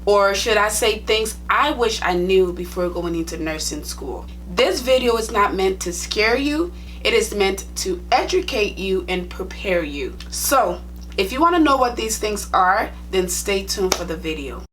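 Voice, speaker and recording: A woman's voice: mid-range voice, expressive, a little slow, a bit bright, slight background noise. A young woman with a Liberian accent, a nursing vlogger talking to her viewers in a chatty, direct, plain-spoken way. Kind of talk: casual storytime vlog.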